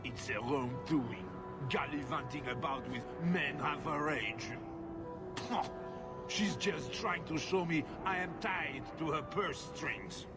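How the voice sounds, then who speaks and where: A man's voice: a little high, slow. A man voicing a pompous, self-pitying nobleman in a video game, haughty and given to indignant complaint. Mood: aggrieved, bitter. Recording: music behind the voice.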